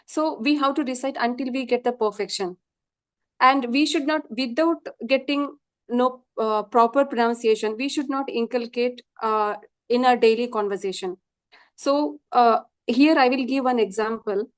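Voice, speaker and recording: A woman with a South Indian accent speaks as an interview guest, her voice a little high. She stresses her points earnestly and repeats them for emphasis. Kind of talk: casual interview chat.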